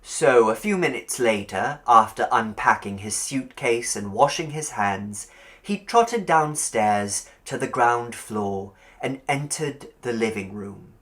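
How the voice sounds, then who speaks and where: A man narrating a short story as an audiobook, with a measured, dramatic storytelling delivery. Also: mid-range voice, expressive, fairly bright tone. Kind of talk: expressive scripted story narration.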